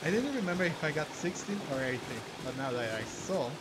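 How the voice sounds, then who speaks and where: A man doing casual gameplay commentary and reading dialogue aloud, with a hesitant, halting delivery. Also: on the high side, sing-song, very noisy. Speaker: gamer playing through a story game and reading out dialogue. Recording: music playing behind the voice. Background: game music.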